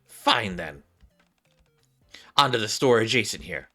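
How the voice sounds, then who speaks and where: A man's voice: fairly low pitch, lively intonation, takes it slowly, bright, forceful tone, faint music behind, exaggerated voice. A man voicing an overzealous, suspicious young female detective from a game, pompous and theatrical.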